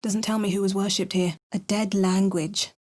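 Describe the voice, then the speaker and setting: A woman's voice: mid-range voice, flat delivery, moderate pace, darkish tone, natural voice. A young woman voicing a tough, dry-witted adventurer heroine in a fantasy video game, speaking in a wry manner. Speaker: player character voice.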